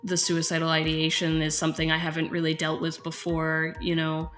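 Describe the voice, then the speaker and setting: A woman's voice: deep voice, monotone, a little fast, fairly bright tone, soft background music. A woman playing a concerned, slightly overwhelmed special education teacher, talking candidly and hesitantly in naturalistic dialogue. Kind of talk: naturalistic acted interview dialogue.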